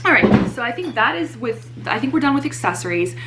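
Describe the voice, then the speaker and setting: A young woman vlogger, slightly hoarse, chatting casually and teasing herself about her purchases. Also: sits high, big pitch swings, a little fast, fairly bright tone, a little hiss or hum. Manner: chatty, self-mocking, many fillers. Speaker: fashion vlogger. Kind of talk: casual haul chat to camera.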